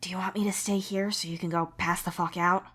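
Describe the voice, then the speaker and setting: An exasperated young man voiced by a woman in an audio story, scolding in a hushed but forceful way. He is sweary and irritable, with obvious care underneath. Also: on the low side, flat delivery, brisk. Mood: weary fondness.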